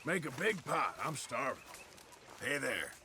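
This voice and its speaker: A man playing a gruff, sardonic American outlaw cowboy in a video game, drawling, dry and blunt. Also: medium pitch, very animated, a little slow, sharp tone, a little hiss or hum, exaggerated voice. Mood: dry, casual.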